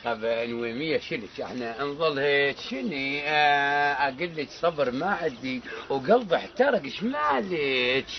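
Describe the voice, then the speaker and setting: A man voicing an exasperated, grumbling comic cartoon character with an Iraqi accent and a croaky voice. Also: medium pitch, lively intonation, moderate pace, lightly acted. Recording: dull lo-fi sound, faint noise.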